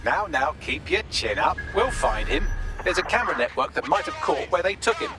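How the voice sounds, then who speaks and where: A man's voice: fairly high pitch, sing-song, moderate pace, over loud music. A man with a British accent voicing a sardonic, chatty AI assistant in a video game, droll and theatrical.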